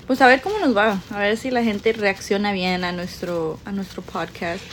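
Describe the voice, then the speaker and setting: A woman's voice: mid-range voice, fairly even intonation, moderate pace. A young woman from Jalisco speaks in a chatty, informal way. Her Guadalajara accent is easy to hear. Faint background noise runs under her voice. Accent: Mexican Spanish from Guadalajara. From Mexico, Jalisco. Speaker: podcast co-host. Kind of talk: casual chat. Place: kitchen break room.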